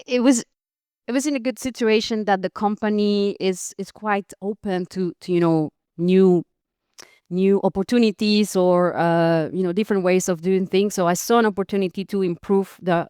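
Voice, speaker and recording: Clear-voiced woman from Costa Rica. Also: medium pitch, steady tone, moderate pace, a little mellow, dry recording, studio quality. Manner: friendly, laughs often, frequent fillers and restarts. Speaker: podcast guest. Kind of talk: interview conversation.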